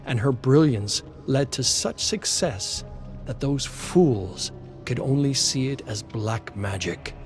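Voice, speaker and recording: A man narrating a dramatic story from a script in a measured, solemn way. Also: fairly low pitch.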